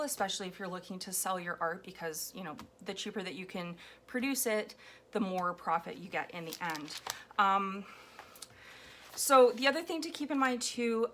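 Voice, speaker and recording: Chatty, slightly hoarse woman crafting at home. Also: medium pitch, pushed and bright. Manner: chatty, rambling, frequent ums. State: hoarse, raw throat. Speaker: collage artist. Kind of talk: casual commentary while making a collage. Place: home, indoors at a table. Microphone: overhead camera on a floor mount.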